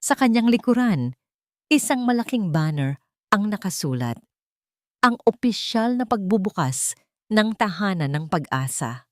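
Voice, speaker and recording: A woman's voice: medium pitch, dry recording, studio quality. A woman narrating a scripted story in a dramatic storytelling style.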